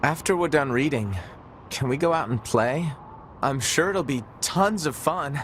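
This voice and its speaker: A man's voice: on the high side, lively intonation, measured pace, a bit bright, slight background noise. A man playing a naive, childlike younger-brother android in a video game, plain-spoken and impatient to play. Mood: childlike, restless. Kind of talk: English video game voice acting.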